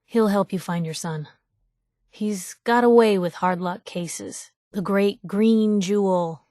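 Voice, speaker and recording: A woman's voice: medium pitch, slow, dark tone, dry recording, clean studio sound, lightly acted. A woman voicing a sardonic, outspoken young newspaper reporter companion in a video game, in a wry conversational way. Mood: earnest, wry.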